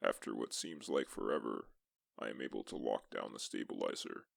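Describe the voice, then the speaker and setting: A man narrating as a lone astronaut explorer in a suspense science-fiction story, with a gravelly voice and measured, deliberate delivery. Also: extremely low pitch, expressive, dry recording, studio quality, heavily acted. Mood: grave, suspenseful. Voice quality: gravelly, creaky. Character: lone male astronaut explorer recounting a tense exoplanet mission. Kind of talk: scripted first-person sci-fi story narration.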